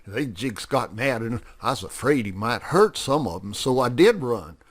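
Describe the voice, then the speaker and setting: A man plays a good-natured old farm horse in a storybook. He rambles along in a folksy, rustic way, with lively swings of pitch. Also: unhurried, smooth. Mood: amused.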